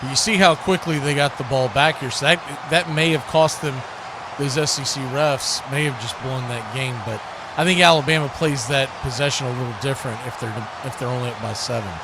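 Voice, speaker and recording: A man with a fairly high voice calls a football game live. He gets excited on the plays and turns conversational between them. The stream has clear noise behind him. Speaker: play-by-play host of a football watch party. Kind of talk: live play-by-play commentary with casual asides.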